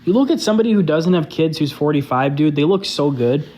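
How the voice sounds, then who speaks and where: Young man, slightly high voice, rambling live-stream chat, candid, lots of "like". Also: expressive, fast, dark tone. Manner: loose and candid, heavy use of "like". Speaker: social media creator hosting a live stream.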